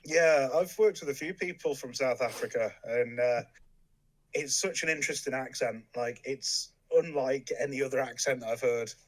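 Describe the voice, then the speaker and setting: A young man with an English accent, a guest in a casual chat, relaxed with lots of ums and dry humor. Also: mid-range voice, moderate pace, poor audio. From England. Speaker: podcast guest.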